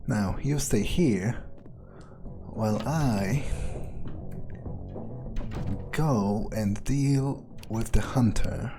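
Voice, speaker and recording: A man's voice: fairly low pitch, extremely slow, gravelly, pauses often, exaggerated voice. A man role-playing a callous, laid-back vampire vigilante in a video game, muttering his thoughts offhandedly. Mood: matter-of-fact. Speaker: let's player role-playing in character. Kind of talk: in-character gameplay commentary, thinking aloud. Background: game music.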